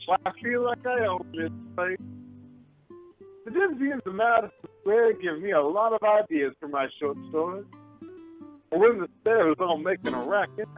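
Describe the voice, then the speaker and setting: Man acting a theatrical folksy storyteller, tinny line. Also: high-pitched. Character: folksy, genial storyteller host of yesteryear, theatrical and wry. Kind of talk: old-time radio play narration. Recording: tinny phone line with music behind.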